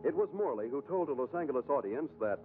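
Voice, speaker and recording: A man's voice: medium pitch, lively intonation, quick pace, natural voice. A man playing a grave American newsreel announcer of the 1950s, with a formal, dramatic delivery. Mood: grave, ominous. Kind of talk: 1950s newsreel scripted narration. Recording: tinny, band-limited old film soundtrack. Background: loud newsreel music.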